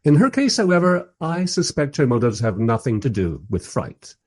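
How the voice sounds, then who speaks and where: A man's voice: mid-range voice, big pitch swings, normal speed. A man playing a wry, bemused first-person narrator in a radio play, telling the story in a dry, literary way.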